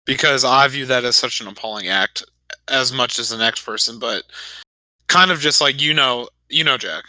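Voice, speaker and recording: A man chatting casually as a player in a tabletop game, halting as he thinks aloud. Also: medium pitch, sharp tone, dry recording, rough recording. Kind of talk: casual chat.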